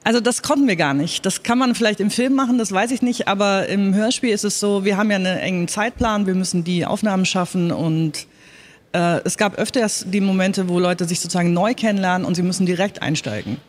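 A woman's voice: medium pitch, a little fast. A woman speaking in a panel talk as a radio drama director, explaining her work in an earnest, emphatic way.

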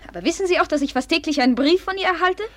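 An actress playing a proud, impulsive, jealous young woman of good family in a classic German radio play, her manner volatile and demanding, her voice breathy. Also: extremely high pitch, racing, a bit bright, dry recording. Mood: jealous, accusing. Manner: imperious, volatile, swinging from confiding to accusing.

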